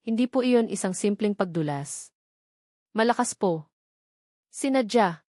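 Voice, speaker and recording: A woman's voice: mid-range voice, lively intonation, measured pace, darkish tone, no room sound, studio quality. Woman voicing a tearful old Filipino nanny. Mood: frightened, insistent. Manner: sobbing, trembling. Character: frightened, tearful elderly nanny, humble and deferential.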